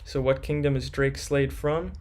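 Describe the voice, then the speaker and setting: A man's voice: medium pitch, fairly even intonation, moderate pace, darkish tone. A young man hosting a live stream, reading viewers' questions from chat and answering them casually.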